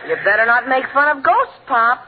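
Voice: high-pitched, animated, normal speed. A performer playing an earnest, worried thirteen-year-old American boy in 1940s radio comedy, eager and naive. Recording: tinny, faintly noisy 1940s radio recording.

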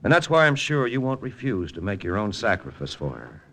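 Deep-voiced hardboiled American lieutenant. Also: male voice, big pitch swings, fairly bright tone. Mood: cool, persuasive. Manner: hardboiled, deliberate dramatic storytelling. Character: cool, persuasive American lieutenant, wartime intelligence agent. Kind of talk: 1940s radio drama narration and dialogue. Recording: old radio broadcast with music.

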